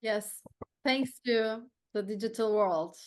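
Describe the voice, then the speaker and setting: A woman's voice: a little high, slow. Turkish-accented woman hosting a podcast. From Turkey. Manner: earnest, thoughtful, restarts sentences. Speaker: podcast host. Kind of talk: casual interview chat.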